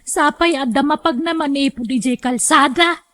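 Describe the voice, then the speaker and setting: Actress as chummy ilocano lady friend in a radio drama, really high sharp voice. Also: moderate pace.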